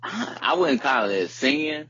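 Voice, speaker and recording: A man's voice: medium pitch, big pitch swings, unhurried, sharp tone, slightly rough, dry recording. A man co-hosting a casual music podcast, talking in a rambling, slangy, profane way. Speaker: podcast co-host. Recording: muffled lo-fi podcast audio with hum.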